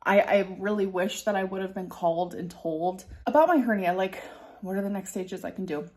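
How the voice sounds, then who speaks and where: A woman's voice: medium pitch, brisk, dark tone. Thirties woman vlogger, rambling, lots of fillers, casual. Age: 30s. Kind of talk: casual personal vlog talk.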